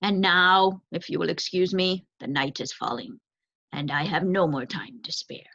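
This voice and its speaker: A woman's voice: fairly low pitch, creaky, a bit bright, heavily acted. Woman voicing a grave, formal owl, muffled recording. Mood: grave, dismissive. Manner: formal, measured, pronouncing. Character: grave, formal old male owl, certain and blunt. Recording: dull, lo-fi close recording.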